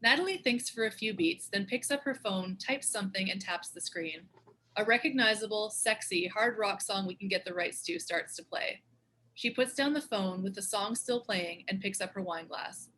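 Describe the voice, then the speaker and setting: A woman's voice: medium pitch, normal speed, pushed and bright, slight background noise, low-quality recording. A woman reading stage directions as scripted narration for a screenplay table read.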